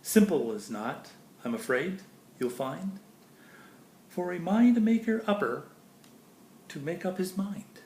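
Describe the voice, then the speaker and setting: A man reading a children's picture book aloud expressively, with pauses between phrases and chatty explanations to listeners. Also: sits high, animated, a little mellow, slight background noise. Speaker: storybook reader. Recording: echoey room.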